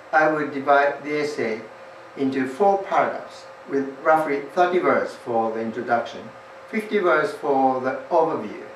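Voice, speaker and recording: A man's voice: on the high side, a little hiss or hum. Japanese-accented man lecturing in a roomy studio. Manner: measured, formal delivery. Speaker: English test tutor. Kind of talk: scripted lecture. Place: small indoor studio. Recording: roomy, slightly distant sound.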